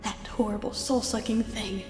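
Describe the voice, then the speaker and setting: A weary male alien invader as a story narrator acts him out, with gentle authority and a slightly raised voice. The sound is echoey, with music behind. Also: mid-range voice, steady tone, normal speed, voice pushed up, lightly acted. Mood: gentle, muttering.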